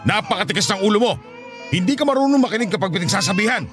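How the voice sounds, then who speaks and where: A man's voice: sits high, expressive, very fast. A man playing a stern grandfather in a radio drama, admonishing his family. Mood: indignant. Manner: admonishing, indignant. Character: stern, scolding grandfather, tender to the baby. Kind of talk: Tagalog radio drama acting. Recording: loud music under the voice.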